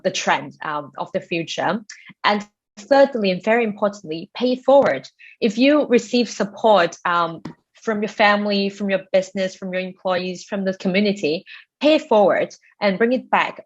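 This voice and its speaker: A woman giving a structured panel answer in a measured way, stressing her key points, on an online call. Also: on the low side, lo-fi sound. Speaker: panelist, programme representative. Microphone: online conference call.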